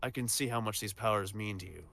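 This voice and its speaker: Casting a man for audio-drama supervillain: proud and earnest, bright edgy voice with some gravel, soft-hearted underneath. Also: on the low side, fast talker, a little hiss or hum.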